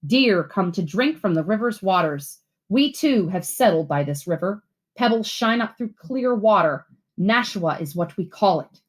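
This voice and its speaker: A woman reading aloud as a teacher to schoolchildren, with expressive, deliberate phrasing. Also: lowish voice, rough recording. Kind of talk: read-aloud storytelling and simple instructions for children.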